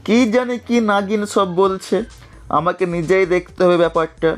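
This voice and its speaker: A man's voice: high voice, normal speed, clear, resonant tone, faint music behind, natural voice. A man voicing an authoritative, sceptical king in a children's cartoon story, with a commanding, questioning manner. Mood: puzzled, dismissive. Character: male village king, authoritative, helpful but sceptical.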